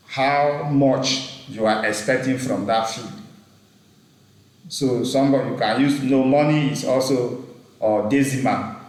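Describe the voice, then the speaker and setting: Guy teaching an online class, mid pitch, slow and repeats stuff, really echoey room. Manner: slow, repeating himself, pausing to check the chat. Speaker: instructor. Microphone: computer mic on a video call.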